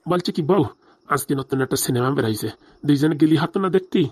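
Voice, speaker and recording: A man doing a rapid-fire older village father, cartoon. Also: on the high side, animated, a little mellow, husky, no room sound. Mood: easygoing, bantering. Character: older village father, easygoing, eager to marry off daughter. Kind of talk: fast comic cartoon dialogue.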